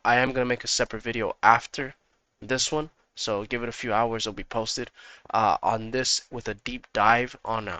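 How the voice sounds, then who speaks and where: Male gaming host, slightly hoarse, casual and repetitive, talks straight to his viewers; bright, crisp timbre with a dry close sound. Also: fairly low pitch, dry recording. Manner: rambling, repeats himself, talks straight to viewers. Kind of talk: casual tactics walkthrough.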